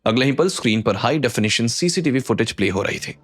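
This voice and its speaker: A man's voice: medium pitch, lively intonation, quick pace. A man narrating a scripted drama story with suspenseful, emphatic delivery. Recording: background music under the voice.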